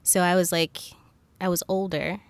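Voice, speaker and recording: A young woman hosting a laid-back podcast chat in a dry, close space, her clear voice searching tentatively for each question. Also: fairly low pitch, steady tone, normal speed, dry recording. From Zambia, moved to the US at ten. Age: young adult. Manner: tentative, searching phrasing with many likes. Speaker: podcast host, interviewer. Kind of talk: casual conversational interview.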